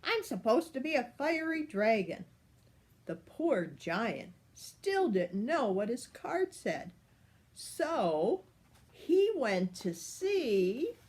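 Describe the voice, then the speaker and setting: An older woman, a grandmother, reading a picture book aloud to children in a playful, animated way. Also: on the high side. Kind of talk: storybook read-aloud.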